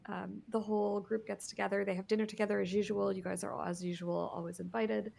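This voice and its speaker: A woman narrating a tabletop game as game master, describing scenes in a halting way with many fillers. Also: mid-range voice, little pitch movement, gravelly, a little mellow.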